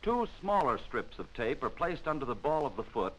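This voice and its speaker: An American man narrates in the style of a 1940s military instructional film. His delivery is formal and measured, with a mid-pitched voice that rises and falls in an animated way. It sounds like a vintage film soundtrack. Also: muffled recording, a little hiss or hum.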